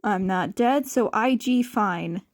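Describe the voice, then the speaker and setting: A woman's voice: mid-range voice, slow, dry recording, voice pushed down. A woman voicing a sardonic, evasive young man in a dry, casual way for an audio story.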